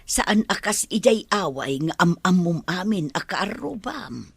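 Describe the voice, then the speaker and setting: Worried soothing old mom, low husky voice, radio drama. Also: female voice, animated, a little slow, dry recording, full character voice. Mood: concerned, consoling. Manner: soothing, concerned. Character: consoling, devout older mother of a grown son. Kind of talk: Ilocano radio drama acting.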